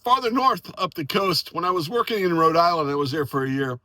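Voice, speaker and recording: High-pitched American male, bright tone, dry close sound, enthusiastic, friendly, casual radio hobbyist storytelling. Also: a little fast, no echo. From United States. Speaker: amateur radio operator and video host.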